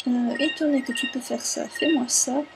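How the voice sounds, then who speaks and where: A young woman who is not a native speaker talks through a video game in a relaxed, chatty way. Her voice sits slightly high, and she often stalls on fillers while reaching for the right word. Soft game music plays quietly underneath. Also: normal speed. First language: not French. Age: young adult. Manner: hesitant, searching for words, many fillers. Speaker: let's play commentator. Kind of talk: casual gameplay commentary.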